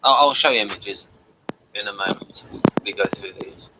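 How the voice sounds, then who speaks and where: A man's voice: fairly low pitch, normal speed. A man hosting a casual review chat, commenting as he goes through pictures. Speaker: host of review show. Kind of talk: casual commentary over images. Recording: faint background noise.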